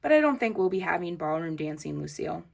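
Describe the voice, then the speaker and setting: A woman voicing a patient, weary female schoolteacher in a storybook, measured and polite. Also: on the low side, big pitch swings, creaky, darkish tone, full character voice. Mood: restrained.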